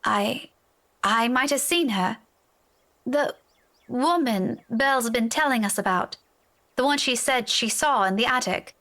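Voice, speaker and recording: A woman's voice: a little high, expressive, unhurried, long pauses, fairly bright tone. An actress playing a frank, assertive young woman in a visual novel, firm and emphatic. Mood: hesitant, uneasy.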